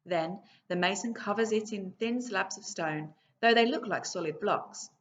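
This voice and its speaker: A woman's voice: mid-range voice, slight background noise. Woman teacher reading a story aloud. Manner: reads slowly and clearly, stopping to ask the class questions. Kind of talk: reading a book aloud to pupils in a video lesson.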